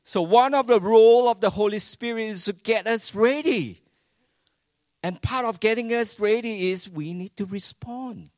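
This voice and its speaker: A man preaches in unhurried, deliberate phrases. He drops to near-hushed lines, then surges up loud and throws rhetorical questions at the congregation. The sound is close and dry. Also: mid-range voice, dry recording. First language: Chinese. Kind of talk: sermon.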